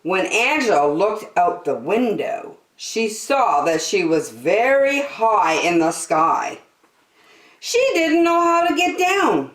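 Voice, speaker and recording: A woman hosting story time, reading a children's book aloud in an expressive, deliberate, sing-song way. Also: medium pitch. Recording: echoey room.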